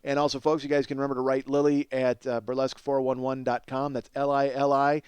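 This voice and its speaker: A man's voice: medium pitch, level tone, quick pace, darkish tone, clear, resonant tone, no echo. A middle-aged man talks as a comedy podcast host with a mid-range voice. He rambles casually through plugs and asides, exclaiming, cursing and putting himself down as he goes. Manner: profane, self-deprecating, exclamatory.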